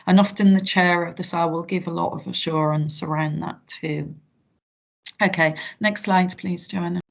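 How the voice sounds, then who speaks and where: A British woman with a fairly low-set voice leads an online training session. She explains her slides informally and reassuringly. The sound is somewhat muffled, like video call audio. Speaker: safeguarding trainer. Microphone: video call microphone.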